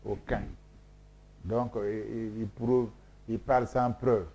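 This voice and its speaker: Emphatic, lecturing Congolese man, mellow voice. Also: on the low side, little pitch movement, slow. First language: Mboshi. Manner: emphatic, repetitive, lecturing, many hesitations. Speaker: scholar being interviewed. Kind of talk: explanatory interview talk.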